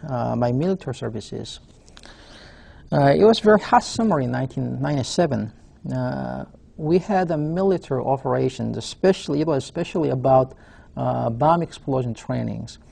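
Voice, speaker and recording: A man in his forties from South Korea with a Korean accent, a professor giving a webcast lecture in a halting way, filling his pauses with "uh". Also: mid-range voice, creaky, warm tone. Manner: halting, frequent "uh" fillers. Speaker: university professor.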